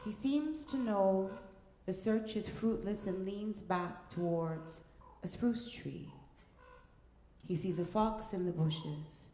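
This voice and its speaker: A woman reading a scripted story in a measured, deliberate way, pausing between short phrases. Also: medium pitch, mellow, a little hiss or hum. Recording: echoey room, faint music behind.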